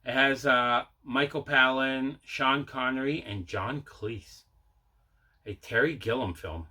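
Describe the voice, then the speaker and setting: A man chats casually as the host of a collection video. His voice sits at a middle pitch with a bright, forceful edge, and he tends to repeat himself as he shares his enthusiasm. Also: slow. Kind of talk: casual mail-day haul chat.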